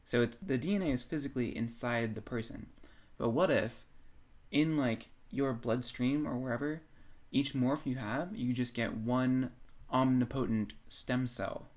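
Man casually co-hosting a podcast, mid-pitched. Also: unhurried, a little mellow. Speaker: podcast co-host. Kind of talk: casual speculative discussion.

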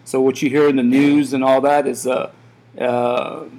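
A man in his thirties talks loosely and at length, circling back with many fillers and false starts. He was born in Iran and runs a café, and this is a relaxed, casual interview. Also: mid-range voice, normal speed, low-quality recording. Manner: rambling, many fillers and restarts. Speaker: café owner.

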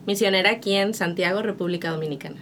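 A woman from Mexico with a northern Mexican accent, giving measured, reflective answers as an interview guest. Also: mid-range voice, fairly bright tone, slight background noise. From Monterrey, Mexico.

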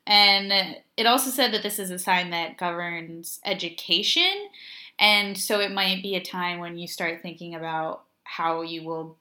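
Young woman, podcast co-host, halting and filler-heavy; bright tone. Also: fairly low pitch. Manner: halting, many filler words, trails off. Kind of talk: casual chat.

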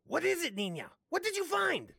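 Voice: very high voice, huge pitch swings, moderate pace, creaky, pushed and bright, dry recording, clean studio sound, exaggerated voice. An actor playing a male candy patriarch, speaking in a theatrical, emphatic way in an audio drama. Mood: urgent, protective. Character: male candy, cult priest and anxious family father.

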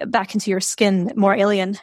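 A woman's voice: medium pitch, little pitch movement, moderate pace, no echo, studio quality. A woman from Serbia talking through ideas in a casual discussion, thoughtful, with many fillers and restarts.

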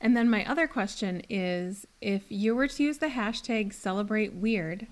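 A woman hosting a podcast, interviewing a guest and closing the show, who often laughs softly and affirms what she hears. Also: medium pitch, moderate pace. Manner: warm listener, frequent soft laughs and affirmations. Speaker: podcast host. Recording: music playing under the voice.

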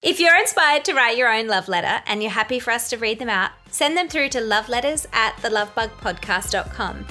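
A woman co-hosting a chatty podcast, her voice high and bright. She talks loosely with lots of fillers and also reads listener letters aloud. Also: animated, fairly quick, few pauses, soft background music. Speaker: podcast co-host. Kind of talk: casual chat.

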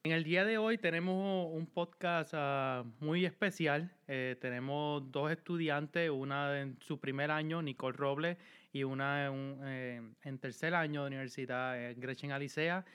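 A young man co-hosting a science podcast, chatty and filling his pauses with "este". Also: a little high, fairly bright tone, clean tone. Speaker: podcast co-host.

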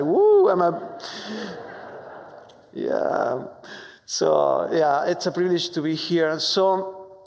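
A man from Argentina with a strong Argentine Spanish accent, preaching a sermon with warm, humorous, halting storytelling full of fillers. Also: fairly high pitch. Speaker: pastor. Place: church sanctuary. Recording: long hall reverb.

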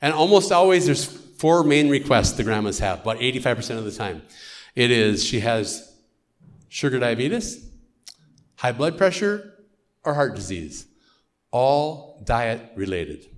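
A middle-aged American man, a missionary, giving an earnest storytelling testimony in church. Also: mid-range voice. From United States.

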